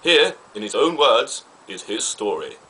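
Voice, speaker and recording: A man's voice: mid-range voice, big pitch swings, a little slow, bright, forceful tone, rough recording. A man playing an earnest, old-fashioned TV correspondent, stiffly polite and melodramatic, in a mock vintage documentary. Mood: solemn, formal.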